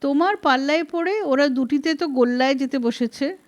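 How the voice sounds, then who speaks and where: A woman's voice: high voice, a little fast, natural voice. A woman voicing a scolding, exasperated middle-aged wife in a story, emphatic and reproachful. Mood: reproachful nagging. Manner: emphatic, reproachful, rhetorical questioning.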